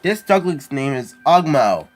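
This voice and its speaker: A man's voice: on the high side, very animated, measured pace, soft background music. A man speaking short asides and announcements alongside a children's story. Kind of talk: brief spoken asides.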